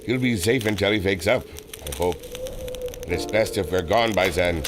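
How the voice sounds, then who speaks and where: A man's voice: deep voice, normal speed, noisy background, close to an everyday voice. A man playing an earnest, remorseful German airship adventurer with a German accent, solemn and melodramatic. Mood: alarmed, urgent. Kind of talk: old-time radio adventure serial acting.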